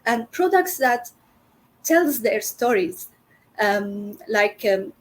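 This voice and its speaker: A woman's voice: a little high, lively intonation, slow pace, poor audio. A middle-aged woman from Syria, a business founder telling her story in an interview, earnest with many fillers and restarts.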